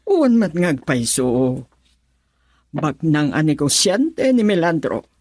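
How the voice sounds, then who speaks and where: A woman playing a consoling, middle-aged mother-in-law in an Ilocano radio drama, with a husky voice. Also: sits low, very animated, takes it slowly, a little mellow, dry recording, studio quality, exaggerated voice. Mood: curious.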